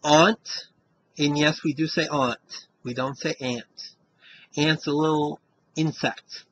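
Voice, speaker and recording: A man's voice: on the high side, steady tone, slow, a bit bright, lo-fi sound, slight background noise. A man with a Western Massachusetts accent, reading a word list and chatting casually to camera, rambling and tagging "you know" onto his sentences. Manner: rambling, says "you know" after almost every line. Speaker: vlogger doing an accent tag.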